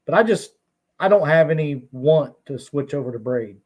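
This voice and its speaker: A man's voice: on the high side, lively intonation, normal speed, a little mellow. A man talking as a guest on a casual fishing podcast, easygoing, laughing now and then and restarting his sentences. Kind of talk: casual chat.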